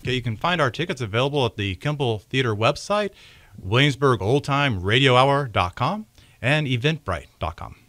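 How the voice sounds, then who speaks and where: Bright-voiced man in radio interview. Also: medium pitch, expressive, moderate pace. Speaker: radio show cast member.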